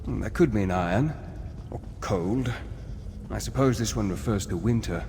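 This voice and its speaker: Scholarly game companion, lowish voice, thoughtful n measured, echoey hall w noise behind. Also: male voice, warm tone. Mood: pondering. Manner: pondering, measured, hesitant. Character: pensive scholarly male companion deciphering ancient words. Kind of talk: fantasy game dialogue. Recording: long reverb with clear background noise.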